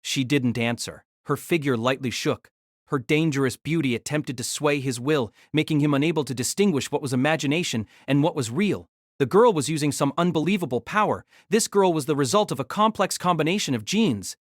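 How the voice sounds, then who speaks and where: A man's voice: on the high side, animated, a little fast, no room sound, studio quality. A man giving a dramatic scripted narration of an action fantasy story.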